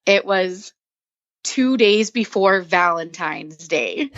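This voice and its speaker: A woman's voice: lowish voice, slow, dry recording, highs cut off. Giggly young woman teacher. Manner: excited, giggly storytelling. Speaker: teacher, podcast guest. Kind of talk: casual interview chat.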